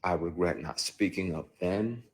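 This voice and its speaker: A man with a very deep, husky voice tells his own story candidly. He is blunt and emphatic and swears freely as he talks. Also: measured pace. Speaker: actor.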